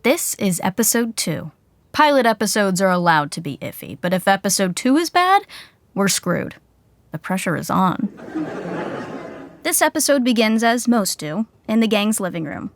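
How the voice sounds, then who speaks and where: A woman narrating a scripted sitcom in a wry, deadpan, tongue-in-cheek way. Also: mid-range voice, normal speed, no room sound.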